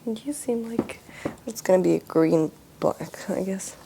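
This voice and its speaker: Worn out young russian-born woman rambling while she paints at 2am, low mellow voice w/ a bit of faint hiss behind. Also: measured pace, vocal fry. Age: young adult. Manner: tired, musing, talks in loose restarts with many fillers. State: exhausted after a work shift. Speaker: art vlogger. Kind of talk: casual late-night talk while painting.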